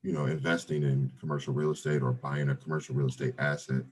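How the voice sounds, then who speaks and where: A man around forty with a deep voice, talking casually as a guest on an interview podcast, with many fillers and restarts. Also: little pitch movement, fast, a little mellow, breathy edge. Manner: laid-back, many fillers and restarts, says "brother" and "right?". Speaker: real estate investor guest.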